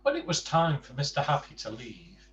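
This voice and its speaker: A man reading a children's storybook aloud in an expressive, emphatic way. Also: a little high, moderate pace, dull audio. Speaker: bedtime story reader. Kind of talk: animated children's storybook narration with casual asides. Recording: distant mic with faint background noise.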